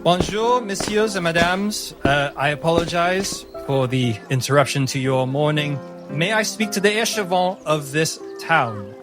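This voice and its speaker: A man's voice: on the high side, animated, a little slow, over music, pitched above the performer's own voice. A man playing a polite, formal uniformed royal guard in a fantasy tabletop game, courteous on the surface. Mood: formal politeness.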